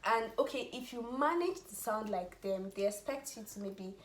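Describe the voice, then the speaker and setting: A young Nigerian-accented woman talking in a lively, laughing way, her voice bouncing around an echoey room. Faint ambience hums behind her. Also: mid-range voice, moderate pace, slight background noise. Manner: animated, laughing often, drops into Nigerian Pidgin. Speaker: vlogger, international student. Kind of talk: casual chat.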